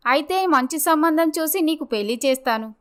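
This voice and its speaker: A woman's voice: high voice, animated, normal speed, a bit bright. A woman playing a blunt, scornful older village mother of grown sons in a dramatised story. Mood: eager.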